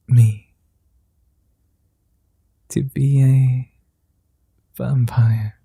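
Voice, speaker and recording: A man voicing a flirty, tender male vampire in an ASMR roleplay, speaking slowly, softly and formally, often in whispers, close to the mic. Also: mid-range voice, vocal fry, slightly rough, no room sound, full character voice. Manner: slow, soft and often whispered; old-fashioned formal speech.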